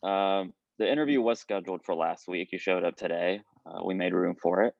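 Male interviewer played by an improviser: very low voice, diplomatic, hesitant, comedy sketch, dry and slightly muffled recording. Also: vocal fry, no room sound. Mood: polite, hesitant. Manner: halting, diplomatic.

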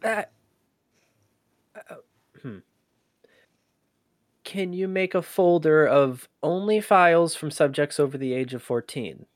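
An actor playing an inquisitive human archivist in an audio drama, with a conversational, halting, often exasperated manner. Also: mid-range voice, lively intonation, no room sound. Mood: hesitant, focused. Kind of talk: scripted audio drama acting.

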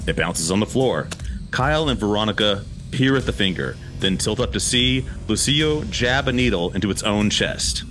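Guy podcast host, low voice, really animated delivery, chatting or reading scripts. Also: moderate pace, background noise. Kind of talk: casual chat and dramatic script narration.

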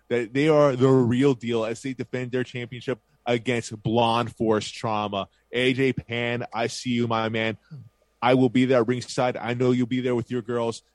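A man's voice: medium pitch, darkish tone, no room sound. A man co-hosting a podcast in casual chat, talking with eager hype about upcoming events. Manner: enthusiastic hype-style promo delivery. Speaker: podcast co-host.

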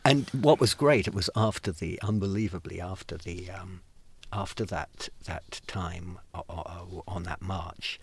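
Older man, low husky voice, stammering storyteller. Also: a little slow, no room sound. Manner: stammering restarts, lively storytelling. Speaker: guest telling stories. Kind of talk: animated anecdote, acting out voices.

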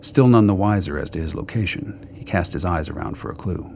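A man with a gravelly voice reading scripted dramatic story narration. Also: deep voice, a little fast, slight background noise.

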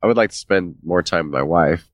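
A man's voice: sits low, fairly quick, no echo, clean studio sound. A man co-hosting a podcast, talking in a laid-back, rambling way with a stuffy, nasal voice from a cold. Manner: laid-back, rambling, swears casually, laughs often. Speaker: podcast co-host. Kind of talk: casual chat.

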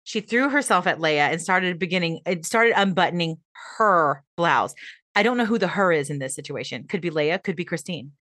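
Woman co-host, lowish voice, bright tone, dry close sound, easygoing storyteller. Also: moderate pace, no echo. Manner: relaxed storytelling, laughing at herself. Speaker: podcast co-host. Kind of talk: casual chat, telling a true-crime story.

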